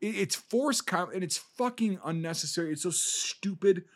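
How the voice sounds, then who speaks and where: A man's voice: high-pitched, expressive, moderate pace. Profane, ranting man reviewing a film. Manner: profane, exasperated, rambling with restarts. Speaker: film podcast co-host.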